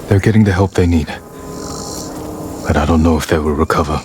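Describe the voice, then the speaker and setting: An actor playing a grave, determined samurai lord in a cinematic video game, speaking in a solemn, terse way. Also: male voice, sits low, brisk, noisy background, natural voice. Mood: grave, controlled. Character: grave samurai lord, determined and haunted by his father's death. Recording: loud music behind the voice.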